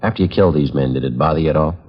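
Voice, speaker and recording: Very deep, clipped police sergeant. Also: male voice, rapid-fire, husky. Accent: American. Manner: clipped, deadpan questioning. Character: terse, businesslike male police sergeant interrogating a suspect. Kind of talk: 1950s radio drama acting. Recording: dull, muffled old 1950s radio recording.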